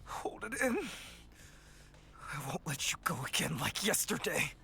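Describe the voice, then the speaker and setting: A woman voicing a menacing, tormented, obsessive man in a visual novel, dramatically moving between breathy threats and hot-tempered outbursts. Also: low-pitched, big pitch swings, measured pace, pushed and bright, full character voice. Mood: strained, tormented. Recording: music behind the voice.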